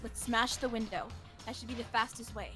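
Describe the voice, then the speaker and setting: A woman voicing a composed, blunt teenage girl detective in a visual novel read-aloud, measured and matter-of-fact. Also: fairly high pitch, fairly even intonation, music in the background, natural voice. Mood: cool, calm.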